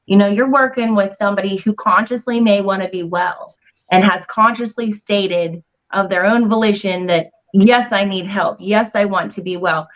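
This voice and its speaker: A woman's voice: mid-range voice, moderate pace. A woman with a mid-range voice explains her ideas articulately on a podcast. Her audio sounds dull and lo-fi. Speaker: podcast guest or co-host. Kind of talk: casual podcast talk.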